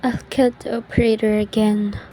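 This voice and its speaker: A woman's voice: fairly high pitch, level tone, normal speed, mellow, slight background noise, close to an everyday voice. A woman playing a nervous, demanding bedridden invalid in a melodramatic radio thriller, fretful and haughty in her indignation.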